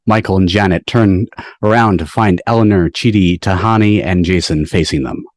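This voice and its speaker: Man, deep voice, scripted narration, stage directions, dry room. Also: moderate pace, no room sound. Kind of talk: scripted narration at a table read. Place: online video call. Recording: dry video-call audio.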